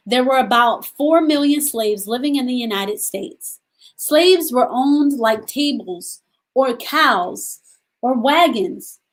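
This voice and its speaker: A woman with a fairly high voice reads a picture book to children at a very unhurried pace. She leaves pauses between short phrases and checks in with her listeners through questions. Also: low-quality recording. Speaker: storytime host reading aloud to children. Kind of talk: storybook read-aloud with questions to young listeners.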